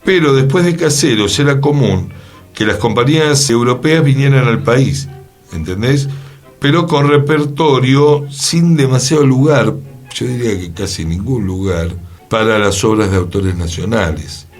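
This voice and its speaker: A man's voice: medium pitch, lively intonation, measured pace. A man with an Argentine Buenos Aires accent presenting history conversationally on a radio talk show. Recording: music playing behind the voice.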